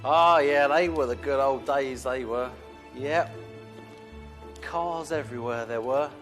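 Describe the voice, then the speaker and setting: A man's voice: medium pitch, slow pace, a bit bright, soft background music. An actor playing a chatty male prisoner who boasts about his car-stealing past to a guard.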